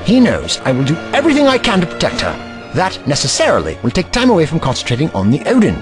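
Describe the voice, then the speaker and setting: A man's voice: a little high, huge pitch swings, quick pace, music in the background. A man voicing a haughty, eccentric time-traveling scientist in an audio drama, pompous and lecturing.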